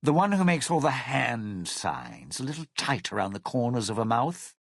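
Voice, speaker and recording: An actor playing a bitter, scheming lounge singer and thief in a video game, with a husky, gravelly voice and a sardonic, theatrical delivery. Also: male voice, medium pitch, very animated, moderate pace, dry recording, studio quality. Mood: wry, calculating. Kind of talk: video game character dialogue.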